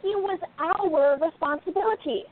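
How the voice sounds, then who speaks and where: A woman plays a young princess detective in a radio drama. She is earnest, determined and insistent, with a very high-pitched voice on thin, phone-like audio. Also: normal speed. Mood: urgent, insistent. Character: determined young princess turned amateur sleuth. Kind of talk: radio play acting.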